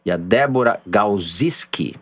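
Bantering podcast host, São Paulo accent. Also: male voice, on the low side, animated, measured pace, fairly bright tone, no room sound. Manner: jokey, bantering, reads listener messages. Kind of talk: casual political podcast chat.

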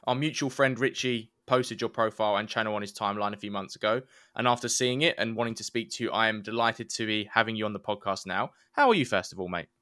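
A man's voice: fairly low pitch, quick pace, pushed and bright, no echo, studio quality. A man hosting a podcast interview, reading a partly scripted introduction and asking friendly questions, calling his guest mate. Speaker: podcast host.

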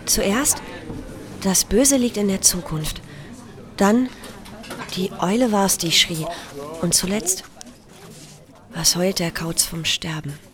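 An actress playing an unsettled, hesitant young-sounding photographer in a German audio drama. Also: female voice, mid-range voice, slow, pauses often, a crowd in the background, lightly acted. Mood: unsettled, confused. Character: unsettled woman photographer recounting eerie events.

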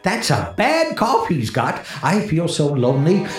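An actor playing a frightened, lonely disc jockey in 1940s radio horror, stammering nervously and rambling to himself. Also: male voice, fairly high pitch, huge pitch swings, measured pace. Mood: lonely, uneasy. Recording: echoey vintage 1940s radio recording with music.

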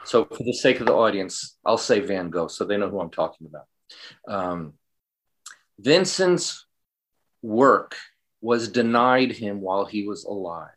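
A man speaking as an interview guest, giving earnest, deliberate and emphatic answers in a reflective way. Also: lowish voice, expressive.

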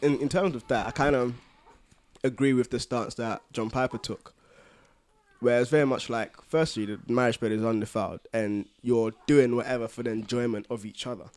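A man's voice: mid-range voice, fairly even intonation, moderate pace, darkish tone. A man co-hosting a podcast in casual, teasing, jokey discussion. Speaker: podcast co-host.

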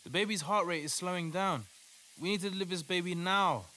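Man playing high-voiced, devout male doctor. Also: fairly quick, sharp tone. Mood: urgent. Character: male doctor, urgent, devout, takes charge. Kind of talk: audio play acting. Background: music.

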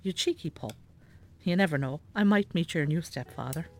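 A woman playing an elderly, nagging, devout Irish mother in a radio drama, sharp-tongued and scolding. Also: sits low, lively intonation, moderate pace. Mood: teasing. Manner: scolding, bossy. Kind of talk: naturalistic radio drama acting.

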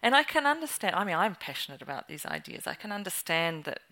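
A woman speaking on a discussion panel, thoughtful and halting, with many fillers. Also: lowish voice, very animated, pushed and bright.

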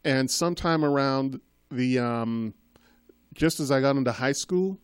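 A man's voice: medium pitch, unhurried, clean tone, no room sound, studio quality. A man in his fifties, raised in Boston after being born in Trinidad, telling his life story as a guest in an earnest, rambling way. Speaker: guest, school committee member. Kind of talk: casual interview.

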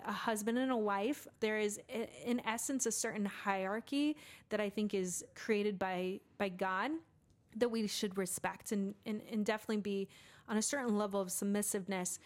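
A woman's voice: medium pitch, a little mellow. A woman in her thirties co-hosting a casual faith podcast, laughing often and restarting her sentences. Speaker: podcast co-host.

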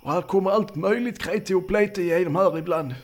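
A man's voice: high voice, voice pushed up. A man voicing a frightened, muddled, polite old country man who stammers and repeats his words in a courteous, old-fashioned way. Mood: apologetic, explaining. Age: older.

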